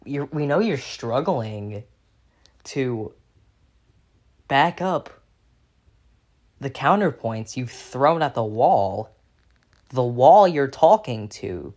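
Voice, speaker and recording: A young man in an unscripted critical rant as a video commentator, halting and repeating himself as he gropes for words, exasperated and sweary. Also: mid-range voice, darkish tone. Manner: halting, repetitive, groping for words.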